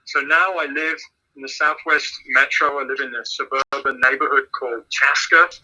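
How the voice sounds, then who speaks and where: A man with an English accent, a missions pastor, answering interview questions in a measured way and often repeating words as he thinks. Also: mid-range voice, fairly even intonation, sharp tone, slightly rough, poor audio. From England. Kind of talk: casual phone interview answers.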